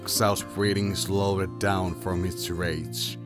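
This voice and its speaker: A man with a low voice narrates a scripted drama. He reads as the storyteller, setting out scenes and feelings. Music plays clearly behind him. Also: little pitch movement, slow.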